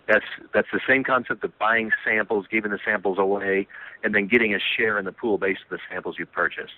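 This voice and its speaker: A man's voice: on the low side, no echo. A man presenting his company's plan on a conference call, earnest and repetitive, with many restarts and fillers. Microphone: telephone. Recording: tinny phone line.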